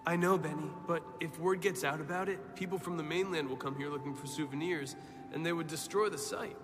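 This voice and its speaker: An actor playing a calm, knowledgeable, kindly mentor to children in an animated film, patient and measured. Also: male voice, on the high side, in a large hall, music in the background, close to an everyday voice. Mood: earnest, reassuring.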